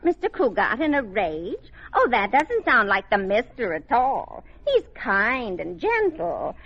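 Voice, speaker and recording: High, lively, sassy radio housemaid. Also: female voice, a little slow, husky, full character voice. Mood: homely, wry. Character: dry, loyal, sassy household maid. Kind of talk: 1950s radio sitcom acting before a live audience. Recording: muffled noisy old radio recording, loud audience.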